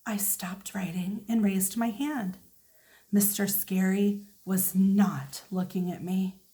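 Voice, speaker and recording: A woman reading a children's story aloud as a teacher, expressive and deliberate. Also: medium pitch. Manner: animated, deliberate.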